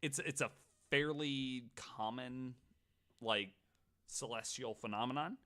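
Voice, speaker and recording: A man's voice: medium pitch, slow pace, a bit bright, clean tone. A man hosting a casual podcast, telling a story with plenty of swearing and "like" thrown in. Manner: swears freely; peppers talk with "like" and "right". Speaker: podcast host.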